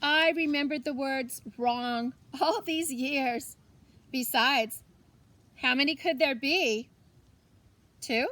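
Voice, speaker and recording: Woman voicing an excitable, high-voiced storybook grandmother. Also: takes it slowly, pauses often, dry recording, exaggerated voice. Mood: wondering, contented. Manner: exclaims, muses aloud, mixes in Spanish phrases. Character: cheerful, forgetful, excitable Mexican grandmother. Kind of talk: children's storybook character reading.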